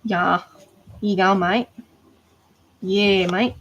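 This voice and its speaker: A woman's voice: medium pitch, steady tone, drawn-out words, a bit bright, muffled recording. A woman with an Australian accent chatting casually during a livestream, rambling and thinking aloud while she crafts. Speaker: art doll maker.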